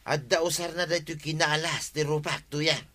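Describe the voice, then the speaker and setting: A man's voice: fairly high pitch, steady tone, moderate pace, sharp tone. A man with an Ilocano accent playing a boastful older husband eager to fight criminals, in broad, exclaiming radio comedy style. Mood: defensive.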